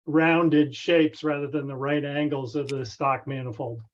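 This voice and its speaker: A man with a slightly high voice talks over a dull, lo-fi conference connection. He explains a technical matter casually, haltingly and tentatively, circling back as he goes. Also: steady tone. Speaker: club member asking a technical question. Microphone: conference call connection. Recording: dull, band-limited conference call audio.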